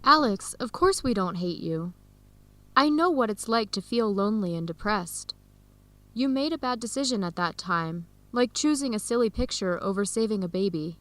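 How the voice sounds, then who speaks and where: A woman playing a compassionate young student and loyal friend, consoling and emotionally expressive, in an educational radio drama. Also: medium pitch, moderate pace, dry recording, close to an everyday voice.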